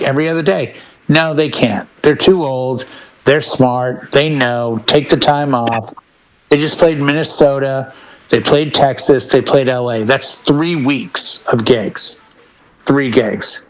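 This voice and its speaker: A man co-hosting a casual panel chat, talking in an animated storytelling way. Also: on the high side, poor audio. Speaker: podcast co-host.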